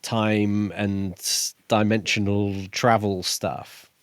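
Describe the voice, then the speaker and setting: A man co-hosting a podcast, discussing books casually in a measured, reflective way. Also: lowish voice, level tone, smooth, no room sound, studio quality. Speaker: podcast co-host. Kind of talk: casual book discussion chat.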